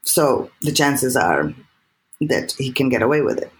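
A woman from Serbia speaks with a Serbian accent. She talks in an animated, earnest way and often repeats or restarts words as she thinks. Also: extremely low pitch, a little slow, poor audio. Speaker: guest filmmaker. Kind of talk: earnest interview talk.

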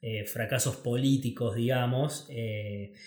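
An Argentine man talks markets in a loose, slangy way, stumbling over words and padding with fillers. His mid-pitched voice has a noticeable roomy echo around it, like a small untreated space. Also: slow. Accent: Argentine Spanish. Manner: relaxed, many fillers and restarts, slangy. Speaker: co-host of a finance show. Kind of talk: casual market chat.

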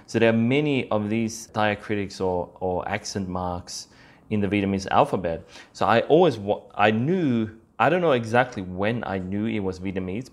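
Guy with a lowish voice doing a casual explainer podcast, chatty, lots of little tag questions. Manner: informal, conversational, peppers talk with "you know" and "right?". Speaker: podcast host.

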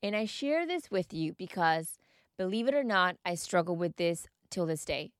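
A woman podcast host speaks in a lowish voice. She coaches the listener with gentle, searching questions. The sound is close and dry, with no room around it. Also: big pitch swings, normal speed, clear, resonant tone, dry recording. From United States. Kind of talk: solo podcast monologue.

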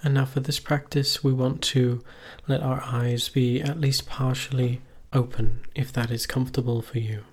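A man leading a guided meditation as a teacher, giving calm, measured instructions with long pauses between phrases. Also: mid-range voice, husky.

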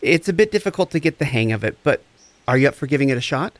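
A man's voice: medium pitch, lively intonation, fast talker, dry recording, lightly acted. A man acting the part of a compassionate recovery coach in an audio drama, tender, soothing and earnest. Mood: encouraging, instructive. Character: compassionate, gentle, encouraging recovery coach and friend.

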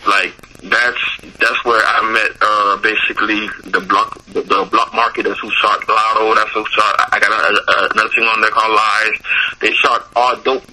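A man's voice: lowish voice, little pitch movement, moderate pace, bright, forceful tone, poor audio. A man with a Haitian accent, a musician guest talking casually in an interview, loose and full of repeated words and fillers.

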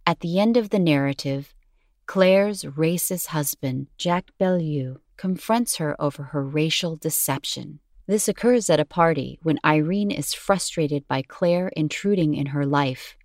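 A woman with a low-pitched voice narrates an educational script. Her reading is measured and articulate, and the sound is dry, with very little room in it. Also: darkish tone, no room sound.

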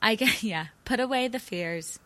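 A woman with a raspy voice co-hosting a podcast, playful and swearing casually. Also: on the high side, lively intonation, normal speed, fairly bright tone. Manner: playful, swears freely, laughs. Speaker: podcast co-host. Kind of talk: casual chat.

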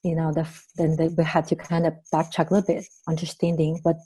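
A woman's voice: sits low, monotone, fast, warm tone, slightly rough, poor audio. A woman, speaking as a podcast guest and therapist in training, thoughtful and full of fillers and "right?" tags. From Taiwan. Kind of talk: podcast interview.

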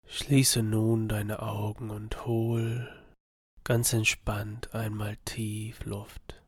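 A man softly narrating a guided body-scan meditation for sleep, soothing and half-whispered, with a breathy voice. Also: lowish voice, flat delivery, drawn-out words, no echo, clean studio sound.

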